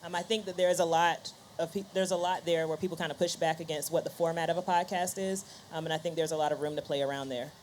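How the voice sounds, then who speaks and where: A woman's voice: low-pitched, fairly even intonation, fast talker, hardly any pauses, a little mellow. A woman on a conference panel, talking conversationally and thinking aloud, often checking in with a short question. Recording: faint background ambient noise.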